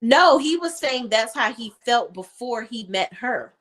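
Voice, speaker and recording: A woman's voice: expressive, measured pace, fairly bright tone. Chatty woman with a drawl. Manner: chatty, acts out quotes in a lowered voice, colloquial. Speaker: co-host of a reality TV opinion panel. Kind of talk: casual chat.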